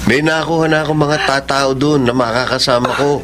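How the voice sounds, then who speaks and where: A man's voice: medium pitch, fairly even intonation, brisk, noisy background, lightly acted. An actor playing a humble, grateful poor father and husband in a Filipino radio drama. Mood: proud, happy.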